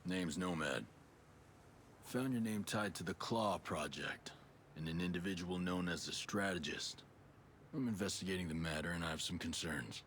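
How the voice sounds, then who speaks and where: An actor playing a calm special forces soldier in a video game, low-key, reassuring and businesslike. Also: male voice, normal speed, a little hiss or hum. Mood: steady, direct. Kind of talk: video game cutscene acting.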